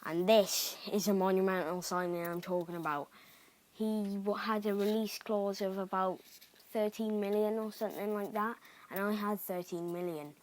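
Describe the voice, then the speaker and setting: A young man from Cardiff with a Cardiff Welsh accent, chatting excitably through gameplay commentary with rambling asides. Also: sits high, moderate pace, rough recording. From Cardiff, Wales. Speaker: gaming YouTuber.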